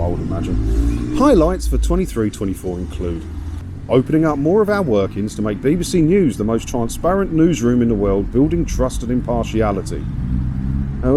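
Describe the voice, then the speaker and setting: A man chatting casually as he walks outdoors, giving sardonic, grumbling commentary on the news and often asking rhetorical questions. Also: mid-range voice. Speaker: vlogger hosting a commentary video. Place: outdoors, walking around an English seaside town. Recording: outdoor windy street noise.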